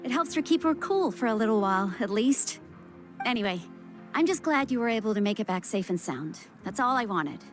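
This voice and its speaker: A woman's voice: a little high, lively intonation, fairly quick, lightly acted. A woman playing a guarded but caring young healer in an anime-style game. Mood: earnest, explaining. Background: game music.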